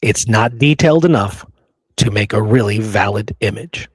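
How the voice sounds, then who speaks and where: Man, talk show co-host, husky edge, informal halting delivery, close dry recording. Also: on the low side, dry recording. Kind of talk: casual discussion.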